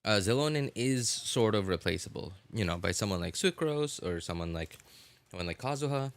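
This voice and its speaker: A man chatting casually on a game livestream, often halting and rephrasing as he answers viewers. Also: fairly low pitch, animated, no echo. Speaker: live streamer. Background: music.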